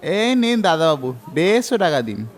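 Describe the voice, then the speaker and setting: A high, animated male shopkeeper played by an actor serves a customer in an audio drama. He is polite and brief, his voice ringing with long reverb. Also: moderate pace. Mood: obliging. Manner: obliging, brief transactional phrases.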